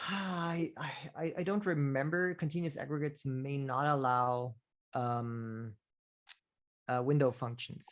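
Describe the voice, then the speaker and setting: A man hosting a casual technical question-and-answer call, halting, with many fillers and restarts. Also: medium pitch, fairly even intonation. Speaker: host of community office hours.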